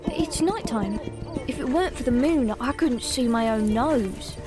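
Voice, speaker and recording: A man's voice: very high voice, expressive, a little slow, music in the background, pitched above the performer's own voice. A man voicing a complaining female traveller in a fantasy audiobook.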